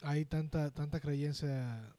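A man's voice: a little high, fairly even intonation, slow pace, mellow, no room sound. A man with a Dominican Spanish accent chatting casually as a podcast co-host, slangy and joking, with some swearing. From Dominican Republic. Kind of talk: casual chat.

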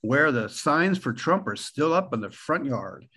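A man in his sixties from Pennsylvania with an American accent, a guest on a podcast telling relaxed, rambling stories with the odd chuckle. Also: a little high, a little slow, slightly rough. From Pennsylvania, USA. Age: 60s. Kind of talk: relaxed conversational storytelling.

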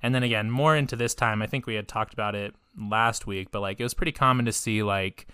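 A woman's voice: rumbling low, quick pace, fairly bright tone, clean tone, no room sound, clean studio sound. A woman co-hosting a podcast in casual chat, rambling with lots of filler words and laughter. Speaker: podcast co-host.

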